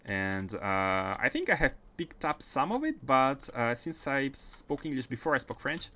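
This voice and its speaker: A man's voice: mid-range voice, big pitch swings, unhurried, bright, forceful tone. A man with a Russian accent chatting casually on a gaming livestream, often repeating and restarting his phrases as he plays. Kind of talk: casual livestream chat while playing.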